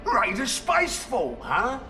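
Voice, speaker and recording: Shrill, yelling ork grunt. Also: male voice, huge pitch swings, slow pace, faint music behind, full character voice. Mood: excitable. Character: excitable shrill ork underling.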